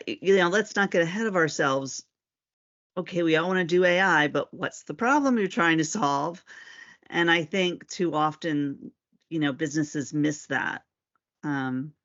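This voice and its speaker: A woman's voice: deep voice, fairly bright tone, highs cut off. A woman giving her opinion casually in a panel discussion.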